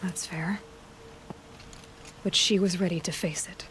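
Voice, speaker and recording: A woman playing a young, compassionate, self-reliant warrior in a video game, speaking in a plain, earnest and gentle manner. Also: lowish voice, steady tone, unhurried, slight background noise, rough recording. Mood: sympathetic. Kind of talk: scripted video game cutscene acting.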